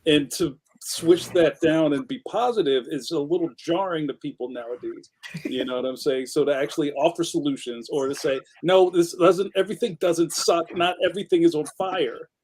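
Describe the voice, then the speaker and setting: A man's voice: fairly high pitch, fairly even intonation, normal speed, darkish tone, slightly rough, poor audio. A man co-hosting a casual livecast over a video call, rambling a little and checking for agreement. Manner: casual and rambling, many fillers and restarts, asks for agreement. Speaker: co-host cartoonist.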